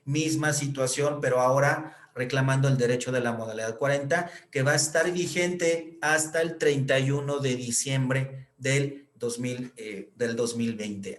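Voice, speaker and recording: A man with a Mexican Spanish accent explaining pension rules in a lecture, with many fillers and checks like "right?". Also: mid-range voice. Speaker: accountant explaining pension reform. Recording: echoey room.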